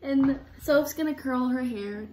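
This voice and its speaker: Giggly high-voiced young woman. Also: echoey room, distant mic, over a noisy crowd. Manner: giggly, playful, drawn-out. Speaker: friend in the vlog. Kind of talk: casual chat. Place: dorm apartment.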